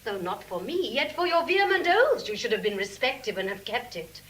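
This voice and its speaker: Sharp-tongued waiting-woman, Shakespearean comedy, pert, theatrical, highish pitch, very varied intonation. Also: sing-song, fairly quick, slight background noise. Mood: scolding, mocking. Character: Nerissa, sharp-tongued spirited waiting-woman and young wife. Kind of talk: classical Shakespearean comic acting.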